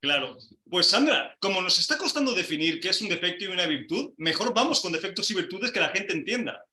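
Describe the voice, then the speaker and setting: A man's voice: high voice, big pitch swings, fairly quick, bright, forceful tone, roomy sound. A man hosting a casual panel discussion, putting questions to each guest and backing them with short "ujú" sounds. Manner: leads with questions, frequent "ujú" backchannels. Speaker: host.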